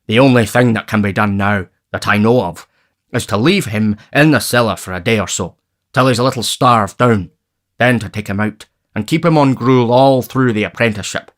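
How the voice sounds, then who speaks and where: A man reading aloud, voicing a pompous, bullying parish official who lectures in a deep, impressive tone. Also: moderate pace, a bit bright, close to an everyday voice. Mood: lecturing. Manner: pompous and stern.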